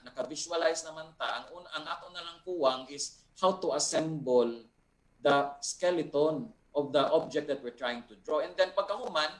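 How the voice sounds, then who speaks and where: A man with a Visayan Filipino accent explaining as an art teacher, unscripted and deliberate, going step by step with tag questions, in a roomy, echoing recording. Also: fairly high pitch. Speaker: art teacher, guest speaker.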